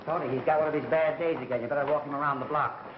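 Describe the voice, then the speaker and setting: An actor playing a flustered, distracted radio executive who stammers and repeats himself in a 1930s comedy film. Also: male voice, fairly high pitch, fairly even intonation, racing, close to an everyday voice. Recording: muffled, noisy 1930s film soundtrack.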